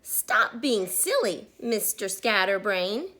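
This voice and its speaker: A woman's voice: medium pitch, big pitch swings, slow, pushed and bright, heavily acted. A woman voicing a grumpy, demanding male storybook character who speaks in a curt, scolding way.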